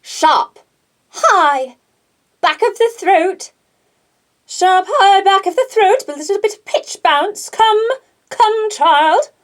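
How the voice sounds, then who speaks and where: A woman voicing a haughty, scolding British aristocratic older lady for an audiobook, sharp and back in the throat. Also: very high-pitched, expressive, slow pace, long pauses, voice pushed up, exaggerated voice. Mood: imperious, scolding.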